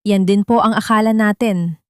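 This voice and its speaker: A woman's voice: mid-range voice, steady tone, moderate pace, no echo, clean studio sound, lightly acted. Woman voicing a sugary, scheming female villain. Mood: sly, insinuating. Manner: sugary, insinuating, poisonous. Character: scheming, venomous younger woman, sweet-talking manipulator. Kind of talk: scripted storybook villain dialogue.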